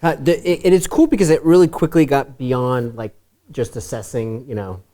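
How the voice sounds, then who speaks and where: Male academic panelist, casual and hedging, medium pitch. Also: moderate pace, warm tone. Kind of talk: panel discussion.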